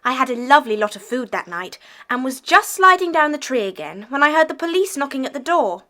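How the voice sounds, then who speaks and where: A woman's voice: sits high, sing-song, brisk, bright, forceful tone, exaggerated voice. A woman playing an earnest, affectionate runaway schoolgirl in an audiobook dramatization. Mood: happy, eager.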